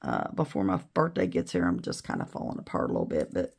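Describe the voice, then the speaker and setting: A middle-aged woman with a raspy voice after an illness, chatting casually and rambling a little while she shows how to make a beaded bracelet. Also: rumbling low, wildly swooping pitch, quick pace, darkish tone. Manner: chatty, rambling, self-deprecating. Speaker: beading video host. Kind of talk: casual tutorial chat.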